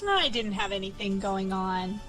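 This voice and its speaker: A woman's voice: medium pitch, animated, unhurried, fairly bright tone, soft background music. A woman playing a caring, fretting grandmother in an audio drama.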